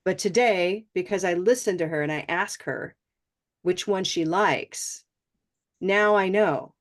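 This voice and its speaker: A woman's voice: lowish voice, unhurried. A woman teaching English pronunciation in an online class, encouraging and laughing often.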